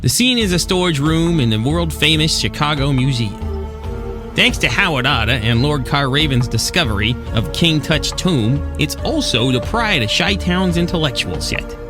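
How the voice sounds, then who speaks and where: A man's voice: fairly high pitch, animated, normal speed, lightly acted. A man playing a cynical American penguin private eye, narrating in slangy, world-weary 1940s noir style. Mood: wry. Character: hard-boiled penguin detective, cynical and guilt-ridden. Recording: music behind the voice.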